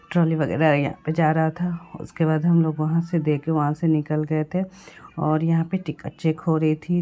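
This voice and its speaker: A young woman from Bihar with a sore throat, chatting through a travel vlog voice-over and clearing her throat and coughing along the way. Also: sits low, mellow, highs cut off. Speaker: vlogger.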